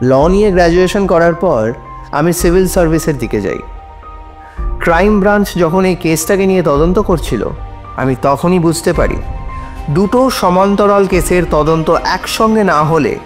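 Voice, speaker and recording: High-pitched, earnest courtroom advocate. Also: male voice, animated, soft background music. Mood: emphatic pleading. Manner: formal, deliberate, persuasive oratory. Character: earnest, assured male police officer pleading as a lawyer in court. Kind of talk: audio drama acting.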